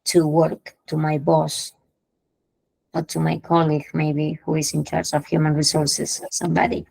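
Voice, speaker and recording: A woman's voice: deep voice, completely flat delivery, a little slow, gravelly, darkish tone, dry recording, poor audio. A woman teaching English idioms in an online class, explaining patiently, repeating phrases and checking in with her students. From Peru.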